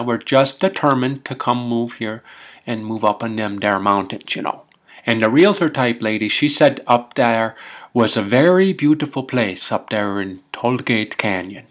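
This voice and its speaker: A man playing a folksy, rambling Minnesotan husband with a Scandinavian-tinged Minnesota accent, telling comic tall tales in halting, homespun grammar. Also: medium pitch. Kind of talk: comic tall-tale storytelling.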